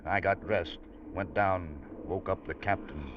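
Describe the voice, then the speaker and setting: A man's voice: deep voice, measured pace, noisy background. An actor playing an earnest ship's officer, telling his story in dramatic 1940s radio drama style. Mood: reflective. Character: earnest ship's officer recounting a harrowing sea rescue. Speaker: first-person narrator. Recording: dull vintage radio recording with loud music.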